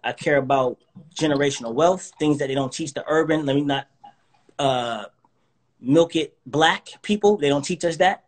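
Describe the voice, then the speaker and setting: A man's voice: on the high side, moderate pace. A man in his thirties talking in a confident, motivational, somewhat preachy way as a guest in a casual interview, speaking from a living room over a phone or webcam video call. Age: 30s. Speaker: guest artist. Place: indoors, living room with couch.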